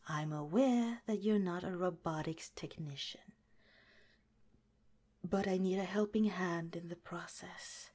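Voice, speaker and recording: A gentle, caring head scientist in charge of a secret underground lab, as a woman plays her for an ASMR roleplay. She speaks in a soft, unhurried whisper, taking her time over every word. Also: on the low side.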